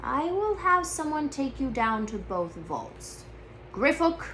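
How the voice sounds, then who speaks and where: Woman voicing a formal, measured goblin bank teller. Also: sits high, very animated, fairly bright tone, a little hiss or hum, pitched above the performer's own voice, exaggerated voice. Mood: businesslike.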